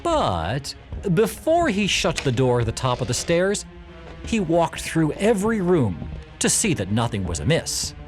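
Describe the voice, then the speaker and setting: Animated male storyteller over music. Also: fairly high pitch. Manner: measured, emphatic, expressive storytelling. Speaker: narrator. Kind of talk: dramatic storybook narration.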